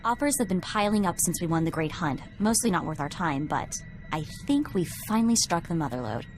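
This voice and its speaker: A woman's voice: on the low side, lively intonation, brisk, close to an everyday voice. A young woman playing a perky, savvy sidekick in a video game, speaking in a casual, eager way. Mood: excited. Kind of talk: video game cutscene acting. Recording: music behind the voice.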